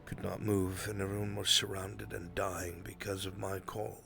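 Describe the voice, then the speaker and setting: Man playing a grave, low-voiced fatherly commander. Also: little pitch movement, vocal fry, sharp tone, full character voice. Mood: solemn, consoling. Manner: measured, solemn, counselling. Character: grave older military commander, fatherly, burdened, steadfast. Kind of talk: live fantasy roleplay, improvised dramatic acting. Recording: music behind the voice.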